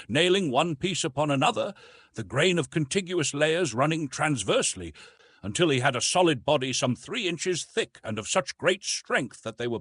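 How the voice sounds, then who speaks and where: Expressive, husky male audiobook narrator. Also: mid-range voice, dry recording. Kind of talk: scripted narration of classic adventure fiction.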